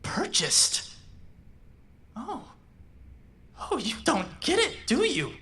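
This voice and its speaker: Woman as intense high-voiced robot, brightly expressive. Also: extremely slow, exaggerated voice. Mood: urgent, incredulous. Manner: emphatic, repetitive, emotionally pressing. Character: intense, pleading, menacing young robot who was born human. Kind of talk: audio drama acting.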